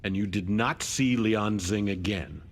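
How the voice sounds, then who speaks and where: An actor playing a stern government prosecutor in a video game, speaking formally, deliberately and with accusation. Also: male voice, fairly low pitch. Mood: sceptical. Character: stern male government prosecutor questioning a suspected traitor. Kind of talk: dramatic video game cutscene acting. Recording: long hall reverb.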